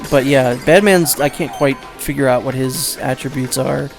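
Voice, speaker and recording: A man giving casual, rambling let's play commentary, second-guessing himself out loud and swearing offhandedly. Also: medium pitch, moderate pace, vocal fry, soft background music.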